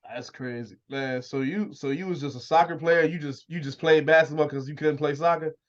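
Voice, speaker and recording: A man hosting a casual interview in informal, slangy, sometimes profane speech over a low-quality internet call. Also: fairly even intonation, a little fast, muffled recording. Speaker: interview show host. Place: remote video call.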